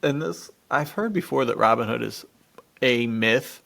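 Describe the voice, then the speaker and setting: A man co-hosting a podcast, chatting casually and excitably in slang, often breaking into a laugh. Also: medium pitch, a little slow, vocal fry. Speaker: podcast co-host. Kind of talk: casual enthusiastic chat about adventure fiction.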